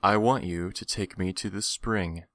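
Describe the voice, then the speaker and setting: A man playing a greedy, condescending villain in an audio drama, with theatrical, drawn-out phrasing. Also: sits low, fairly even intonation, a bit bright. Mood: smug. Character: menacing, greedy, smooth-talking villain stranger, adult man.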